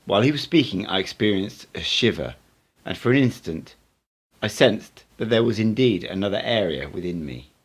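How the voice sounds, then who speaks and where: A man, an amateur reader, narrating an audiobook in an expressive storytelling style. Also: on the low side, moderate pace, slightly rough.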